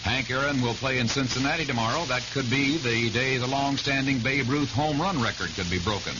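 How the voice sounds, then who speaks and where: A man's voice: medium pitch, brisk. An American man reading scripted sports and weather news on the radio. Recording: dull radio recording with heavy hiss.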